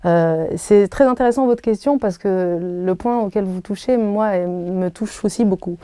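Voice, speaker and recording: A woman in her thirties whose first language is Arabic, answering interview questions thoughtfully, with many pauses and restarts. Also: medium pitch, warm tone, smooth, no echo. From Algeria. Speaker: singer being interviewed. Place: hotel room.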